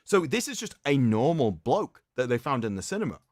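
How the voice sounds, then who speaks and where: A man's voice: medium pitch, big pitch swings, brisk, a little mellow, no room sound, clean studio sound. A man hosting a stream, giving a sarcastic, emphatic dramatic reading with mocking commentary. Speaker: streamer host.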